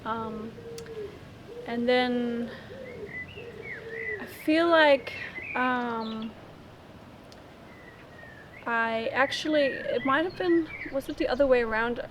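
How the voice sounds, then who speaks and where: Interviewee, woman from Denmark, slightly high voice; casual, halting storytelling; noisy outdoor take. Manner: halting, thinks aloud.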